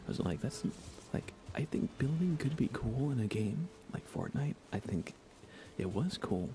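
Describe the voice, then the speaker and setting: A man chatting casually in a soft ASMR gaming-stream voice, often dropping toward a whisper. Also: big pitch swings, moderate pace. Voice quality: creaky, low. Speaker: livestreaming gamer.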